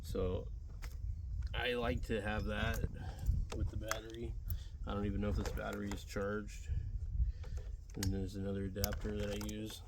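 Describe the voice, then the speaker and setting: A man with a fairly low, very even voice talks through a hands-on hobby demonstration. He is plainspoken and rambles casually as he sets up gear. The outdoor recording is clearly noisy. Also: moderate pace, long pauses. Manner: plainspoken, rambling, talking while setting up gear. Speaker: amateur radio hobbyist demonstrating portable gear. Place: outdoors in a backyard. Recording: noisy outdoor recording, uneven mic distance.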